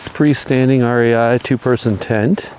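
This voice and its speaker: A man casually talking through his camping gear outdoors in strong wind, relaxed and rambling, chuckling now and then, recorded on a camera mic. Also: medium pitch, a little slow, low-quality recording. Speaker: outdoor vlogger. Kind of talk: casual gear-testing vlog. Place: outdoors in a windy backyard.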